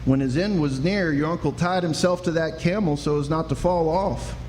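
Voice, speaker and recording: A man acts out a folksy frontier sheriff in a Western radio play. His voice sits a little high and explains things in a plain, homespun way. It rings in a reverberant hall with faint noise behind it. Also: moderate pace, a little mellow, lightly acted. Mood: folksy, explaining. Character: folksy male sheriff of the Old West. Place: live performance in a reverberant room.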